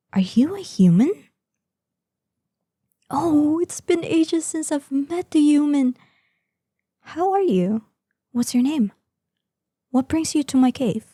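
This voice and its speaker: Woman voicing a slow, dark-toned, imperious she-dragon. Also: sits high, dry recording, studio quality. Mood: excited, flustered. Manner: slow, imperious, savoring threats. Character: ancient, menacing, proud female dragon guarding hoard, lonely, excitable. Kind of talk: scripted audio roleplay acting.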